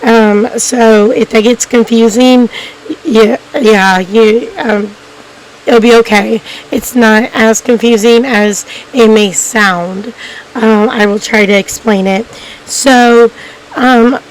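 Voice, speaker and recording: Hoarse woman, slow rambling talk. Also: a little high, steady tone, rough recording. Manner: rambling, many ums and restarts. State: hoarse, losing her voice. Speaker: YouTube documentary host. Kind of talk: casual unscripted narration to viewers.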